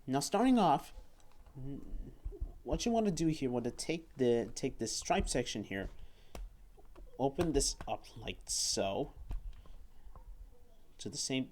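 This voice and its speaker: A man reviewing and demonstrating a toy at a table indoors, talking haltingly and often repeating words and phrases. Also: mid-range voice, sing-song, darkish tone, slight background noise.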